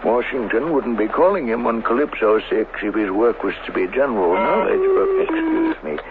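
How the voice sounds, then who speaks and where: An actor in an old radio drama playing a flustered, placating district chief who blusters and hesitates, on a hissy old broadcast. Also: male voice, medium pitch, huge pitch swings, moderate pace, phone-quality sound. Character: flustered male colony district chief, placating and evasive official.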